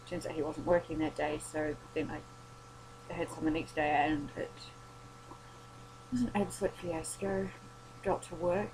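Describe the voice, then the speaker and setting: A woman's voice: low voice, background noise. A tired young woman, rambling and swearing in a casual late-night vlog, her voice worn and starting to go. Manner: rambling, sweary, self-deprecating. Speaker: vlogger.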